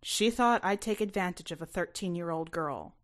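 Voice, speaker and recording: Woman narrating a short story, first person, kinda low voice, expressive. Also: moderate pace. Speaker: author reading their own short story.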